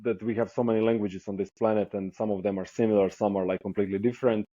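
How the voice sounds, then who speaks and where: A man's voice: lowish voice, fast talker, no room sound, lo-fi sound. A man in his thirties with a Croatian accent, chatting relaxedly as a guest on a video call interview through an earphone mic. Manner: relaxed, chatty, laughs easily. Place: indoor room, plain wall.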